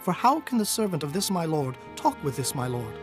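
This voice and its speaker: A man playing an awed prophet who recounts a holy vision in a solemn, measured dramatized scripture narration. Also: a little high, very animated. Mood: humble, weak. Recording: music behind the voice.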